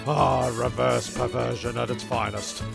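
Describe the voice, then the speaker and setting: A man's voice: medium pitch, fairly even intonation, a little slow, vocal fry, over music. A man narrating a comedy audio serial as scripted storytelling, breaking off into rambling, sweary asides.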